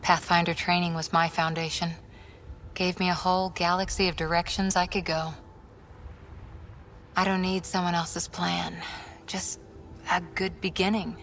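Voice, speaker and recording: A woman's voice: fairly low pitch, flat delivery, measured pace, long pauses, fairly bright tone, slight background noise. A woman playing a wry, tough alien adventurer in a video game, talking in short, dry, plain-spoken phrases. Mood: reflective. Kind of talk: naturalistic video game acting.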